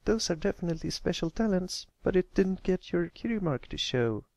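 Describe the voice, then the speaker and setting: Male narrator as young filly, cheery, friendly, encouraging, high-pitched, storybook pony. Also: lively intonation, a little fast, darkish tone, no echo.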